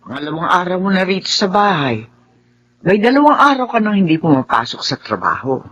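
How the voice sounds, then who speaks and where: Casting: caring older Filipino mother, raspy low female voice, gentle radio-drama delivery, muffled recording. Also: big pitch swings, brisk, heavily acted. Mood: concerned.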